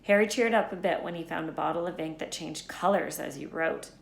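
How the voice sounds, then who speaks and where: A woman with a slightly low voice reads a children's story aloud as scripted narration. The recording is noticeably roomy and echoey. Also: brisk, gravelly. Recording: echoey room.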